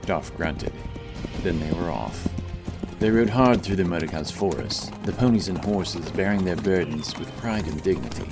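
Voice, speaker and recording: A man with a very low voice narrates a fantasy adventure in a dramatic, scripted style. Music plays clearly behind him. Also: moderate pace. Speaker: audiobook narrator.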